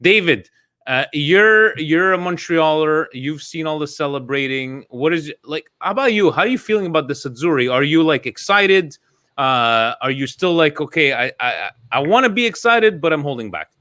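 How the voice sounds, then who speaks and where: A man's voice: fairly high pitch, normal speed. A man hosting a casual podcast chat, asking the others questions with a lot of fillers. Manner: lively, questioning, hesitant fillers. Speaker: podcast host.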